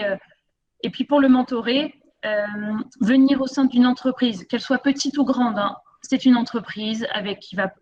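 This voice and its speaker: A woman's voice: fairly high pitch, darkish tone, dull audio. A woman giving a spontaneous testimonial as a mentor, speaking hesitantly with repeated words and emphasis. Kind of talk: spontaneous spoken testimonial at a conference.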